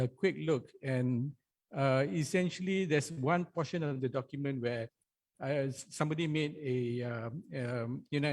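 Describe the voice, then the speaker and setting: A man on a forum panel adding a point, hesitant with many fillers. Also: mid-range voice. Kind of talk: panel discussion.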